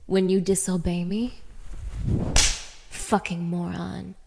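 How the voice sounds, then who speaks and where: A woman's voice: lowish voice, little pitch movement, slow pace, vocal fry, heavy background noise. A woman playing a cruel, haughty young dominatrix in an audio roleplay, speaking straight to the listener with taunting, commanding contempt. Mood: mocking, domineering. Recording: reverberant, echoing room.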